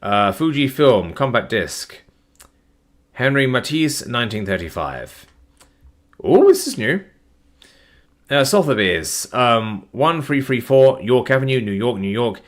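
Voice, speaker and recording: A tired man, reading long file listings aloud on a live stream in a flat, plodding way, his voice starting to give out. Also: mid-range voice, unhurried, long pauses. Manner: flat, plodding reading of numbers with weary asides. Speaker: document narrator.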